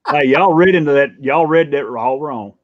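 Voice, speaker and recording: A man's voice: on the high side, normal speed, no echo. A man in his fifties chatting casually as a guest in a group conversation. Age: 50s. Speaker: livestream guest. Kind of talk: casual chat. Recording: dull lo-fi dry sound.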